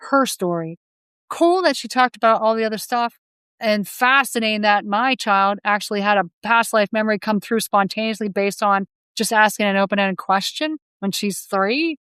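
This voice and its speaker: Female hypnotherapist guest, chatty and meandering, crisp voice, dry close sound. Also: medium pitch, a bit bright, no room sound. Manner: rambling, with frequent restarts and self-corrections. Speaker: past life hypnotherapist guest. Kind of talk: conversational interview answers.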